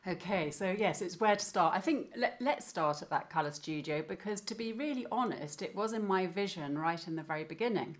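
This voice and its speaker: A woman's voice: fairly low pitch, animated, brisk, hardly any pauses. A middle-aged woman telling her story as an interview guest, enthusiastic. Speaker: guest, company founder. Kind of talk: interview answers.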